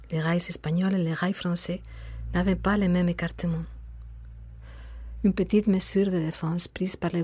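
Husky, mellow Spanish-accented woman narrating. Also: on the low side, no echo. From Spain. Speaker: narrator of an autobiographical sound piece. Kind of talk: intimate scripted autobiographical narration.

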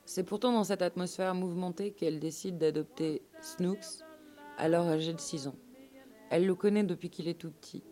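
A woman narrating a scripted radio documentary story in measured, literary phrasing. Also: lowish voice, fairly even intonation, warm tone, soft background music.

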